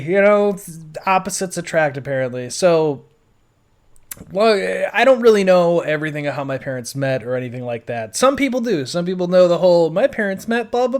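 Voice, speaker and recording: Male, 30s, American, slightly high voice. Informal podcaster, unscripted and blunt, loose rambling delivery. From western New York State, USA. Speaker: podcaster telling his life story. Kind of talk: casual unscripted audio blog.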